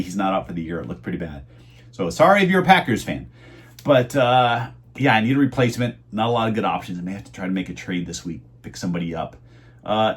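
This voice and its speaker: Chatty man, voice going hoarse. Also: on the low side. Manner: chatty, meandering, lots of "uh" fillers. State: losing his voice. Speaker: eBay reseller vlogger. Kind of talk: casual rambling vlog talk.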